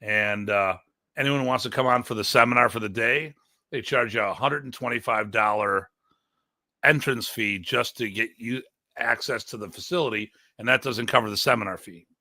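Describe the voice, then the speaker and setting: A man's voice: medium pitch, normal speed, bright, forceful tone, no echo, clean studio sound. A man hosting a live online show, chatting casually and interviewing a guest.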